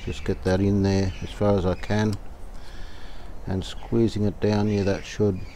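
An older man calmly talking through a restoration job outdoors, thinking aloud as he works. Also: on the low side, a little hiss or hum. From Australia. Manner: thinking aloud, calm and unhurried, plain-spoken with fillers. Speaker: radio restorer, channel host. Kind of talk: casual hands-on workshop narration. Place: outdoor verandah in the garden.

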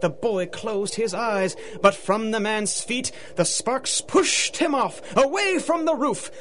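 A man's voice: high-pitched, animated, slow, a little hiss or hum. A man giving theatrical, dramatic narration in rhyming storybook verse for a radio drama.

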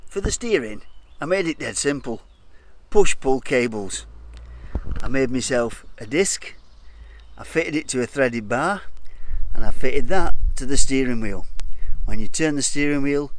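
A man at an ordinary middle pitch explains his homemade project in a plain, matter-of-fact way. He talks step by step in a garage workshop. The sound is caught on a phone camera he holds while he works. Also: lively intonation, unhurried, pauses often, a little hiss or hum. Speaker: hobby boat builder. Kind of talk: casual DIY explanation.

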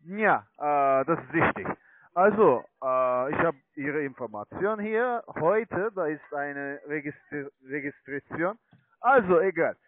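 A man's voice: a little high, expressive, slow, no echo. A man working as a telephone sales agent, speaking hesitantly in broken phrasing as a non-native speaker. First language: not German. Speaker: telemarketing caller, online trading account manager. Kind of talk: phone sales pitch. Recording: tinny narrowband phone line.

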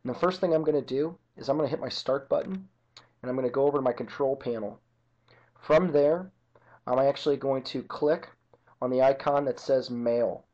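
A man narrating an informal software tutorial as an instructor, explaining each step methodically. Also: mid-range voice, moderate pace. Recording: dull lo-fi computer screen-recording audio.

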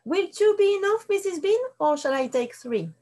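A woman's voice: extremely high pitch, expressive, normal speed, dark tone, voice pushed up. A woman doing a big, meek servant woman. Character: big, simple, dutiful female servant.

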